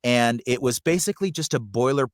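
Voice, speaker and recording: Male voice coach, mid-pitched, conversational storyteller, animated explanations, dry close sound. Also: a little fast, no room sound. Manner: animated, acts out examples as he explains. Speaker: voice actor and coach.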